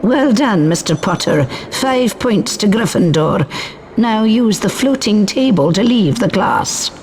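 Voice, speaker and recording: A woman voicing a strict, brisk professor of magic, stern and precise, in a video game. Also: mid-range voice, expressive, a little mellow, slight background noise. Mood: stern, approving. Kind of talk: video game character acting.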